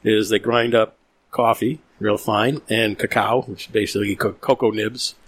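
A man's voice: on the low side, steady tone, normal speed. A man hosting a casual podcast in a cigar lounge, explaining things in an easygoing way. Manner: explaining, easygoing, laughs often. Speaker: podcast host. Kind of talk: casual chat.

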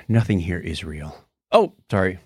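A man's voice: low voice, takes it slowly, slightly rough, no echo, clean studio sound. A man hosting a podcast, chatting casually and playfully through news stories. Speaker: podcast host. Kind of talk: casual news banter on a live-streamed podcast.

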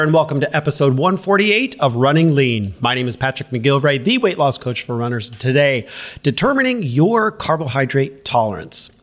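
A man of ordinary pitch talks through a solo podcast in a relaxed, conversational way. His voice is nasal and stuffed up from a head cold. He speaks straight to the listener and keeps checking in as he goes. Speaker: podcast host and running coach. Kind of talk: casual solo podcast talk.

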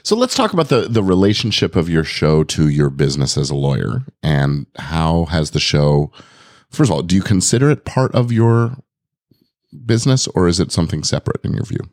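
A podcast host, a man with an extremely deep, booming and robust voice, talks in a relaxed, conversational way. His voice sounds dry and close to the mic, with no room echo. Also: fairly quick. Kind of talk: casual conversational interview.

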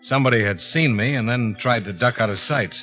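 An actor playing a cool, probing insurance investigator in a 1950s radio detective drama, clipped and wry. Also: male voice, medium pitch, a little fast, pushed and bright, soft background music, lightly acted. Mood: terse narrating.

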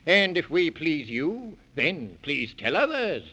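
High, singsong talking tree. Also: male voice, big pitch swings, slow pace, pushed and bright, slightly rough, full character voice. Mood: obliging. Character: obliging, courteous talking pepper tree. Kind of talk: 1930s children's radio serial acting. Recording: dull vintage 1930s radio recording.

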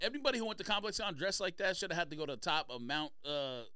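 Teasing guy on a podcast, slangy chat, bright punchy voice, dry sound. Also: high-pitched, very fast, clean tone, no echo. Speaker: podcast co-host. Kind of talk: casual chat, reading out sneaker releases.

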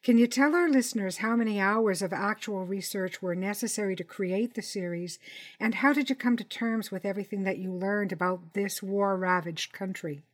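Measured, articulate woman hosting a podcast. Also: mid-range voice. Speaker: podcast host and interviewer. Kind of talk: podcast presenting and interviewing.

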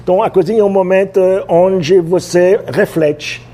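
A man in his sixties from France, a chef speaking Portuguese with a French accent, telling stories in a relaxed interview with many fillers. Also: sits high, moderate pace. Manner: storytelling, hesitant with many fillers, laughs easily. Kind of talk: casual interview talk.

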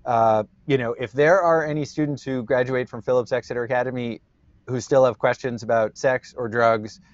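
A man hosting an interview series, talking loudly in a joking, rambling way over a video call. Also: mid-range voice, fairly even intonation, normal speed, no echo. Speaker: host. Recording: dry, muffled audio.

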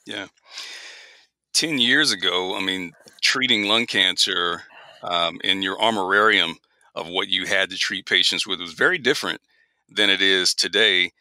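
A male podcast host asks his guest questions in a measured way, with a few fillers. His voice sits low but sounds very bright and forceful. It is recorded dry, with no room sound. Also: no echo. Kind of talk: podcast interview.

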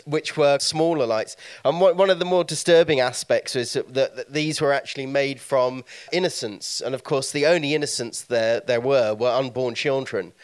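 A man's voice: on the high side, smooth, no room sound. A man giving an informal talk on folklore as a guest speaker.

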